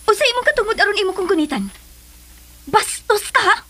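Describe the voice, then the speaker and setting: A woman's voice: extremely high pitch, lively intonation, fairly quick, noisy background. An actress with a Cebuano Filipino accent playing a stern, protective mother and businesswoman who scolds sharply in a radio drama. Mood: indignant. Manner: sharp scolding, mixes in English.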